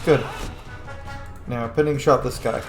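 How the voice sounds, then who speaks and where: A man's voice: medium pitch, fairly even intonation, measured pace. A man giving casual, sweary commentary as he plays a video game. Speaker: gaming streamer. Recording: roomy echo, game music behind. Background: video game music.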